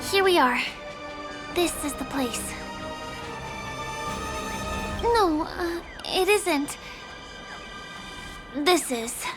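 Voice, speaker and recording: A woman's voice: sits high, expressive, extremely slow, long pauses, music in the background, full character voice. A woman voicing a small, eager, childlike guide character in an English-dubbed video game. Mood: eager, guiding.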